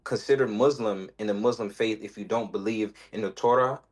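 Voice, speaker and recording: A man in an informal religious debate, speaking defensively and haltingly as he explains his position. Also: lowish voice. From West Africa, Sierra Leone and Senegal background. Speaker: debate participant.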